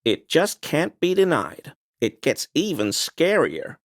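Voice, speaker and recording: A man's voice: a little high, wildly swooping pitch, takes it slowly, no echo, clean studio sound, heavily acted. An actor playing a smug, paranoid conspiracy-peddling man in a video game, explaining things in a pedantic, insinuating, mock-apologetic way.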